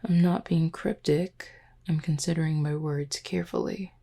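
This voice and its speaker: A woman voicing a flirty, composed female werewolf second-in-command in an audio roleplay, teasing and measured, with a low, husky voice. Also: steady tone, dark tone. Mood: coy, composed. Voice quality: low, husky, breathy with some creak. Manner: teasing, measured, deliberate wording. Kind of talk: roleplay audio drama, intimate one-sided dialogue.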